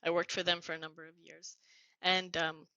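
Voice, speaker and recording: A woman's voice: lowish voice, little pitch movement, moderate pace, sharp tone, no room sound, muffled recording. A middle-aged woman from New Jersey, a podcast guest telling her life story in an earnest, grateful tone. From United States (New Jersey). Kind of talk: reflective conversational storytelling.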